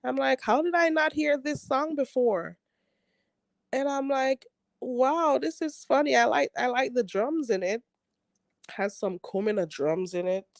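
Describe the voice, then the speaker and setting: A woman's voice: sits high, unhurried, creaky, no echo, studio quality. A woman hosting a casual live chat, reading viewers' comments aloud. She is stuffy and a bit nasal, laughs often and talks bluntly. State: stuffy nose. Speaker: livestream host reading chat.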